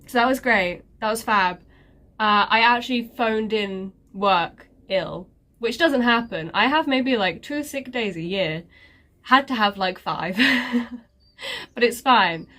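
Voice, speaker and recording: Woman vlogger, slow and congested, sarcastic and rambling in a casual update. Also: fairly high pitch, bright, forceful tone. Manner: sarcastic, self-mocking, rambling with many fillers. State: congested, stuffy nose. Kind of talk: casual life-update chat.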